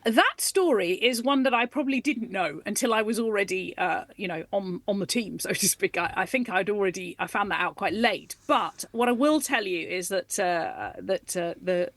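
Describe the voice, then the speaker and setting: An animated, enthusiastic woman in her forties speaks as an interview guest in a dry, close studio. Her pitch sits mid-range for a woman, and she laughs easily as she talks. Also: moderate pace, few pauses, dry recording. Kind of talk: radio interview, conversational. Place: radio studio.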